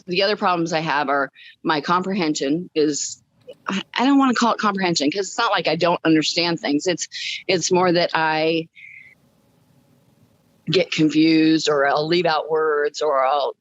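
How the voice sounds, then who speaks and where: A woman's voice: deep voice, dull audio. A woman guest telling her personal story in a casual, upbeat way during a podcast interview. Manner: upbeat, chuckles as she talks.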